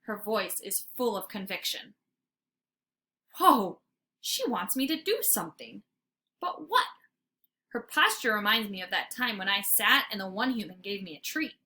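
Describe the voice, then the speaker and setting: A woman's voice: mid-range voice, lively intonation, unhurried, pauses often, sharp tone. A woman reading aloud as a teacher would, narrating a children's story expressively with dramatic emphasis.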